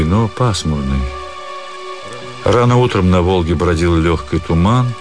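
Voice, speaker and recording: Casting: male narrator, deep voice, measured literary storytelling for radio, music underneath.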